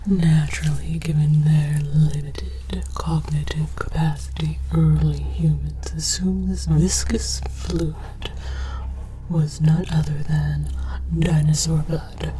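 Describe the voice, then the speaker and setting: A woman whispering scripted ASMR narration as an alien archaeologist, with a deliberate, theatrical, mock-scholarly delivery. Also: deep voice, steady tone, background noise. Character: alien archaeologist researcher, scholarly and condescending about humans. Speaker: host of an ASMR series.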